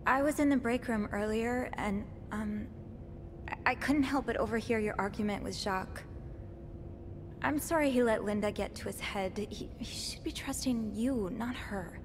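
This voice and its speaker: Soft-spoken, gentle young woman, played by an actress. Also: on the high side, moderate pace, noisy background, natural voice. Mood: gentle, apologetic. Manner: hesitant, soft-spoken, sympathetic. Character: Sophie, gentle curious young woman hotel maid. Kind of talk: naturalistic game voice acting.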